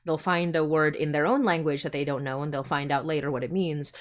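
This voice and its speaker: Low-voiced woman hosting a casual chat. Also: fairly even intonation, fairly quick. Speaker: podcast host and writer. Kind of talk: casual panel chat on a livestream.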